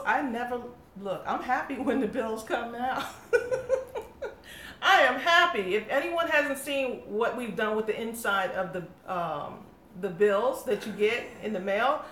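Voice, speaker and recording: A woman's voice: a little high, expressive, unhurried, a bit bright. A woman from England who hosts a craft channel, chatting casually in a live question session, laughing often and talking through fillers. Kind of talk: casual live-stream chat. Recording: echoey room, faint background noise.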